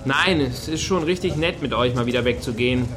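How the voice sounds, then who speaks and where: A man's voice: mid-range voice, a little fast, a bit bright, lightly acted. A man acting in a satirical radio play as an easygoing, agreeable peacemaker on a night out, talking casually.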